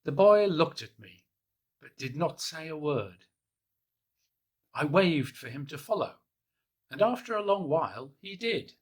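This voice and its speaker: Soft-spoken man reading a children's story. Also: on the high side, very animated, measured pace, long pauses, breathy edge. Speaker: storybook reader. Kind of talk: gentle first-person children's story reading.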